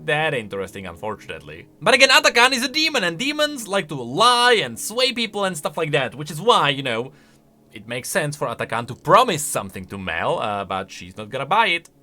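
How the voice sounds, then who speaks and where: A man's voice: fairly high pitch, huge pitch swings, fairly quick, bright, forceful tone. A man giving casual, conversational video game lore commentary, thinking aloud and posing questions. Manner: conversational, speculative, rhetorical questions. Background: faint music.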